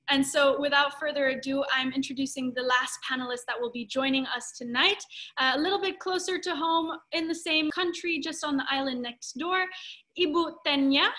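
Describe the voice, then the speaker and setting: A young woman hosting a panel over a video call, effusive and expressive as she introduces guests. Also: sits high, rough recording. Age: young adult. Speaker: host of a panel talk. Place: dim room at home, indoors.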